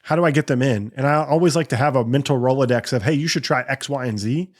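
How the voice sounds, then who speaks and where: A man's voice: mid-range voice, fast talker. Man hosting a whiskey podcast. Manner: conversational, asks long rambling questions. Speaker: podcast host. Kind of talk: casual podcast interview.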